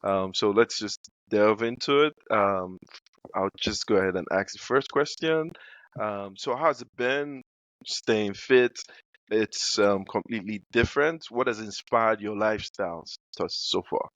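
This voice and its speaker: A man's voice: lowish voice, a little slow, fairly bright tone, no echo. A man from Africa hosting a podcast interview, asking questions hesitantly with many fillers. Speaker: podcast host. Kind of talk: casual interview. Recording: dull dry sound.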